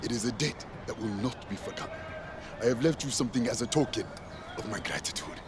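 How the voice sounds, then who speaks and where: An actor playing a zealous, guilt-ridden preacher in a video game, theatrical and sermonizing. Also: male voice, mid-range voice, fast talker, background noise, close to an everyday voice. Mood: grateful, solemn. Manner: theatrical, sermonizing, quoting scripture. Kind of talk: video game cutscene acting.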